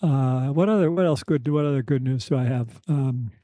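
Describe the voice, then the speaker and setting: A middle-aged or older man speaking candidly and haltingly as a podcast host, with a soft, slightly weakened voice, a slight lisp and wry reflection. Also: medium pitch, no echo. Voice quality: slight lisp, thick-tongued articulation. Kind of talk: reflective personal conversation.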